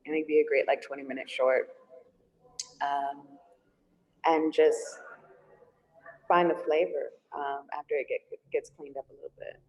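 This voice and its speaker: A woman's voice: low voice, fairly even intonation, a little slow, long pauses, darkish tone, highs cut off. A woman screenwriter talking casually and reflectively in a question-and-answer discussion of her writing. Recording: long hollow room reverb.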